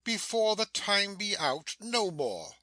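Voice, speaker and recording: Man as stern sorcerer master, sharp forceful tone, dry sound, declamatory, imperious, scolding, Shakespeare audiobook drama. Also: high-pitched, very animated, takes it slowly, no room sound, full character voice. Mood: irritated.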